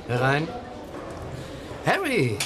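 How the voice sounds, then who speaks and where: A man's voice: a little high, huge pitch swings, very slow, exaggerated voice. A man playing a wry, guarded but friendly doctor with a dry manner in a German radio drama. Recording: clear background ambience noise.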